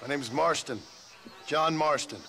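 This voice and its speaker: An actor playing a gruff, terse male cowboy gunslinger in a video game, talking in a laconic, dry way. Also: on the high side, a little slow, over music, poor audio. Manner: laconic, dry and plain-spoken.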